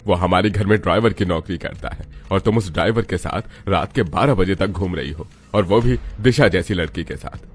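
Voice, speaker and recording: A man's voice: low voice, moderate pace, hardly any pauses, breathy edge. A man playing a proud, stern wealthy father who scolds in a cartoon family melodrama. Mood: angry, stern. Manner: scolding, threatening.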